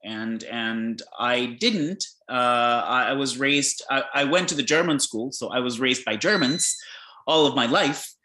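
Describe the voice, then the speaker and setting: A man from Peru, a podcast guest, talking about his life in a reflective, hesitant way with many pauses and restarts. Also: mid-range voice, pushed and bright, reverberant, poor audio. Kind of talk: casual conversation.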